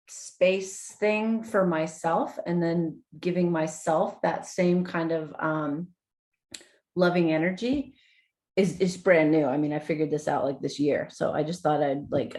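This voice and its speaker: A woman's voice: lowish voice, darkish tone. A woman taking part in an online workshop, sharing her thoughts openly with frequent fillers. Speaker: workshop participant. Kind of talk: reflective sharing.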